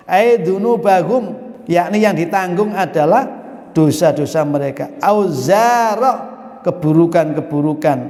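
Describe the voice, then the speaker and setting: Man, Javanese accent, preacher, high voice, lively sermon, echoey hall with long reverb. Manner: lively storytelling, mixing in Arabic and Javanese phrases, mimics questioners. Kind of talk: Islamic study sermon.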